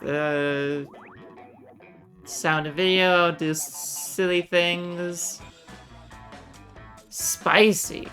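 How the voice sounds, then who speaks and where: Slow-paced woman streaming a game. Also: fairly low pitch, steady tone, a bit bright, soft background music. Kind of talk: casual live game commentary.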